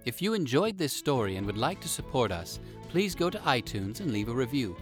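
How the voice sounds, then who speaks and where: A man narrating a children's story in an expressive, dramatic manner. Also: mid-range voice, fairly quick, music in the background.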